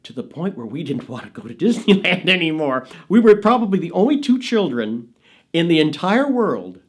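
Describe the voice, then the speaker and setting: A man in his fifties telling family stories in a casual, animated way, recorded in a small studio. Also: on the high side, moderate pace, husky. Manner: animated, expressive storyteller. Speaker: interviewee. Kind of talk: casual storytelling.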